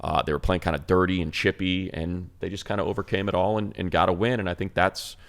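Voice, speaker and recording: Very deep-voiced American sports talk man. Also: fairly even intonation, fast talker, fairly bright tone, clean tone. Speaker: sports show co-host and radio play-by-play announcer. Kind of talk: sports talk analysis, conversational.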